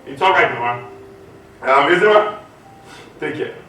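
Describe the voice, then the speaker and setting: A man on stage playing a generous, important gentleman who speaks with emphatic reassurance. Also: a little high, lively intonation, unhurried. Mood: reassuring. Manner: emphatic, reassuring, projected delivery. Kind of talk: theatrical one-man stage acting. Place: hotel hall stage. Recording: distant echoey stage recording, faint noise.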